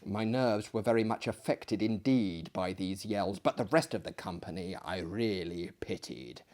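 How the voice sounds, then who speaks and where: A man's voice: mid-range voice, darkish tone. A British man narrating a story theatrically, with self-mocking asides. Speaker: storyteller and performer.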